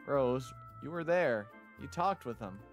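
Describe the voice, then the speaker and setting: A man's voice: mid-range voice, animated, a little slow, close to an everyday voice. A man voicing a blunt, concerned school friend in a visual novel, with a questioning manner. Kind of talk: visual novel character read-aloud. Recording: music behind the voice.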